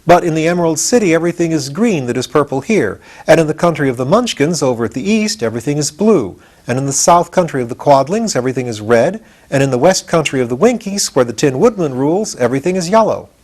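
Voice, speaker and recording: A man's voice: fairly high pitch, lively intonation, fast talker, hardly any pauses, darkish tone, voice pushed up. A man voicing a confident, adventurous boy who explains things eagerly in a storybook reading. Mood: explaining. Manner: eager, matter-of-fact explaining.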